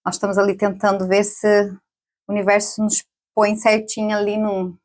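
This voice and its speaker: A woman's voice: mid-range voice, flat delivery, normal speed, darkish tone. A woman in her forties, a podcast guest, chatting casually, laughing often and tagging questions onto her sentences. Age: 40s. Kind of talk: casual interview chat.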